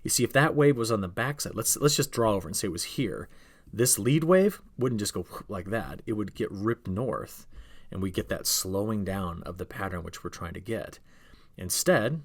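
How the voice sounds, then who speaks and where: A man giving a conversational, explanatory weather briefing as the forecaster, talking viewers through maps and checking in with short questions and okays. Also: deep voice. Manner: instructional, checks in with okay, all right and rhetorical questions.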